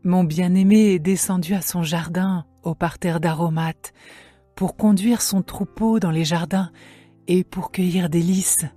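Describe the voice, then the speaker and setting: A woman playing a lovesick young woman longing for her beloved, in a measured, poetic dramatized scripture reading. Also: on the low side, fairly even intonation, dark tone, breathy edge, soft background music. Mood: adoring.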